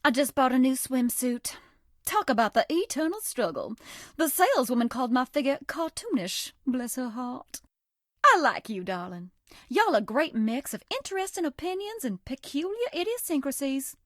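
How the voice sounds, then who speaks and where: Woman acting a sugary, high-voiced Southern belle. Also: dry recording, studio quality, natural voice. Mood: playful. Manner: coy, sugary, folksy. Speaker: voice actress. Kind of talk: video game character voice lines.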